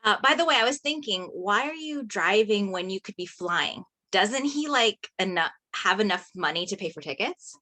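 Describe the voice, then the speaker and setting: An actress at a table read playing an emotional, chatty teenage best friend. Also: female voice, medium pitch, animated, moderate pace, few pauses, bright, forceful tone.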